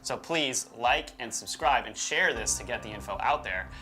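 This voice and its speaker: Bright-voiced man co-hosting, music behind. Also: medium pitch, lively intonation, moderate pace, rough recording. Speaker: co-host of a UFO show. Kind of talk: conversational commentary. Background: background music.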